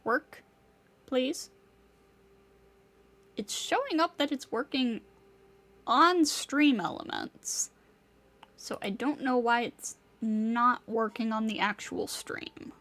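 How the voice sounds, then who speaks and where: A woman streaming a game speaks in a high voice at a slow, easy pace. She greets viewers by name and talks to her broken software in mock pleading. Her talk is casual and unhurried. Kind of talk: casual live-stream chat.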